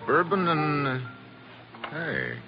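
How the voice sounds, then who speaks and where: An actor playing a cool, wry American secret agent in a casual, clipped 1940s radio style. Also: male voice, fairly high pitch, huge pitch swings, slow, exaggerated voice. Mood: smooth, flirtatious. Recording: muffled vintage radio recording with music behind.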